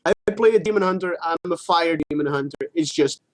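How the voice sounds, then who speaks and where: A man's voice: fairly high pitch, brisk. A man co-hosting a gaming show, chatting casually and giving blunt opinions with some casual swearing.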